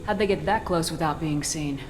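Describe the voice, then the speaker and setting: Woman playing a low-voiced, tough female soldier. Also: fairly quick, noisy background, natural voice. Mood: businesslike. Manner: terse, cool, direct. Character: tough, self-assured female soldier posing as freelance mercenary.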